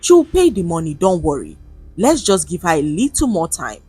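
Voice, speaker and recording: A woman's voice: lowish voice, sing-song, moderate pace, warm tone, breathy edge, dry recording, soft background music, heavily acted. A woman with a Nigerian accent voicing a confident, scheming blackmailer in a story. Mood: smug, scheming.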